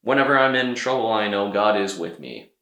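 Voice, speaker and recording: An earnest, brave storybook soldier, played by a male narrator, speaks with resolute conviction. His voice is bright and lively, with clear room echo. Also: lowish voice, brisk.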